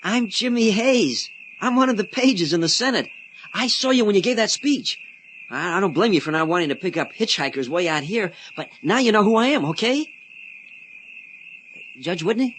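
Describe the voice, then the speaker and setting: A man's voice: sits high, lively intonation, moderate pace, a bit bright, slight background noise. An actor in a radio drama playing an eager, chatty young man, boyish, polite and rambling. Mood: pleading, excited. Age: young adult. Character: eager, chatty young male Senate page.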